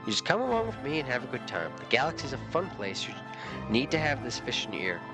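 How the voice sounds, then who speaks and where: A man's voice: medium pitch, huge pitch swings, quick pace, over music. A man voicing a breezy, smug male alien hitchhiker who talks offhandedly.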